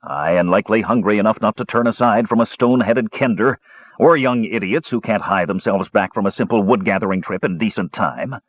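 A cantankerous old dwarf who grumbles and curses everything around him, as a man plays him. His voice is low and husky. The recording is dry and slightly muffled. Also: fairly quick, no echo, lightly acted. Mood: grumbling. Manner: gruff and grumbling. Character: grumpy old male dwarf, gruff and quick to curse.

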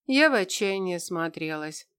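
A woman's voice: fairly low pitch, expressive, measured pace, fairly bright tone, smooth. A woman reading a scripted first-person fantasy story as an audiobook narrator.

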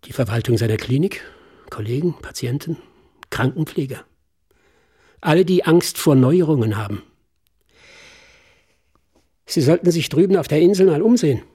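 An actor playing a wistful, self-assured stage hypnotist with a musing, deliberate manner in a radio drama. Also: male voice, fairly high pitch, animated, dark tone, husky. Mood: knowing, composed. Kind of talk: German radio drama acting.

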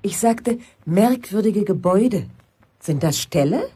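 A woman's voice: medium pitch, expressive, a little slow, dark tone, breathy edge. An actress playing a polite, mildly curious woman visiting from outside, speaking in an understated, musing way in a radio play. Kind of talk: German radio play dialogue.

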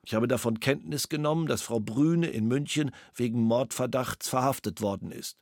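A man's voice: medium pitch, normal speed, a little mellow, dry recording, studio quality, natural voice. An actor reading the statement of a male witness in a formal, matter-of-fact way.

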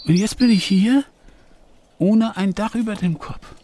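An actor playing a bewildered, uprooted shopkeeper in a German radio play, sounding plaintive and lost. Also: male voice, high voice, unhurried, warm tone.

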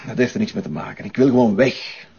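A man's voice: mid-range voice, fast talker, a little mellow, breathy edge. A man acting as a surly, evasive, foul-mouthed Flemish café owner who is being interrogated in a crime drama. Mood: defensive. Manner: defensive, coarse, blustering. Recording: dull, muffled audio.

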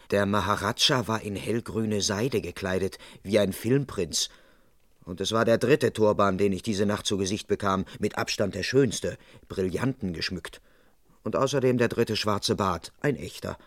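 Actor as a modest, low-voiced constable. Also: male voice, no echo, clean studio sound, lightly acted. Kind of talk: first-person radio-play narration.

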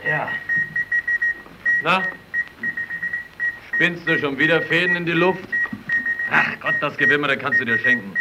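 An actor playing a gruff, teasing, dismissive brother of a radio tinkerer in an old German radio play, speaking colloquially. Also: male voice, fairly high pitch, a little slow, pauses often, close to an everyday voice. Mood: mocking, impatient. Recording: loud static and radio noise.

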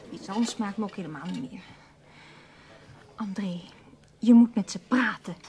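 A woman's voice: medium pitch, measured pace, noisy background, lightly acted. An actress playing a writer's anxious, loyal woman companion in a Dutch radio play, pleading and scolding in a Netherlands accent. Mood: insistent, concerned.

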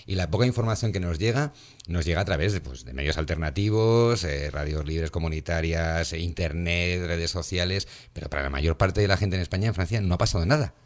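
Low-voiced, self-mocking radio host. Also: male voice. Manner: self-mocking, laughing, halting. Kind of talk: casual radio chat.

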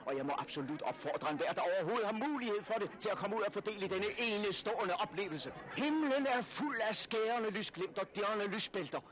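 An actor playing an enthusiastic radio reporter giving declamatory live commentary in a 1960s radio drama. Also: male voice, high-pitched, lively intonation, few pauses, fairly bright tone. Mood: awed, excited. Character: excited male radio reporter at an outdoor spectacle.

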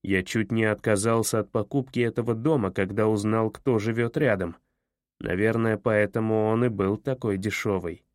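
Man doing stern bigoted father, low, quiet, disapproving, dry. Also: fairly even intonation, moderate pace, dry recording, lightly acted.

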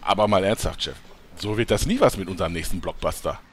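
Casting: male actor as dim, sulky fantasy sidekick, lowish voice, lively swings, parody radio play, faint noise. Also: fast. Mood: indignant. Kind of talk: German comedy audio drama parody.

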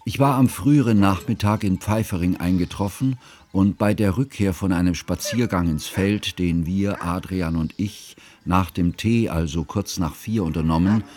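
A man's voice: deep voice, moderate pace, a little mellow, close to an everyday voice. An actor playing a learned, scrupulous man narrating his friend's life in a literary radio drama, precise, ornate and reflective.

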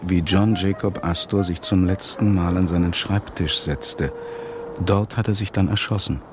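An actor playing a grave narrator in a radio play, measured and literary, speaking standard German. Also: male voice, deep voice, steady tone, noisy background, close to an everyday voice. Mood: measured, solemn. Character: grave male narrator of a shipwreck tale.

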